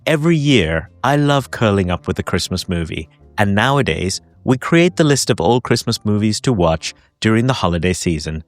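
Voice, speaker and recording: A man's voice: fairly low pitch, lively intonation, moderate pace, hardly any pauses, no echo. A man narrating a podcast episode, telling personal holiday stories in a reflective way. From Hong Kong. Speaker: podcast host. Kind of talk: scripted narration.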